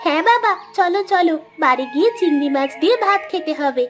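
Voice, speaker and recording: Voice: very high-pitched, lively intonation, brisk, over music. A performer voicing an eager young village girl with a pleading, childlike manner in a cartoon. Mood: happy. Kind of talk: cartoon dialogue in Bengali storytelling.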